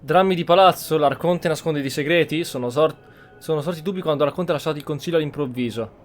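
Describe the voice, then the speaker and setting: A man's voice: fairly high pitch, rapid-fire, faint music behind. A man hosting a gaming channel, giving casual commentary as he plays.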